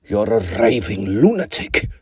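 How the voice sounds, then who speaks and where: A sinister scholar villain acted by a man speaks with wildly swooping pitch and oily, false courtesy. The delivery is theatrical period audio drama, recorded dry. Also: sing-song, measured pace, a little mellow, husky, dry recording, exaggerated voice. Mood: affronted denial. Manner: mock-polite, theatrical, swooping.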